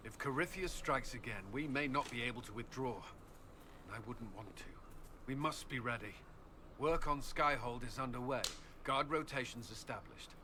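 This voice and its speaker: Man acting stern army commander, forceful bright tone, resolute, earnest, clipped fantasy game dialogue, faint noise. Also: medium pitch, normal speed, lightly acted. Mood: grave, resolute. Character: dutiful, stressed military commander, stern and earnest.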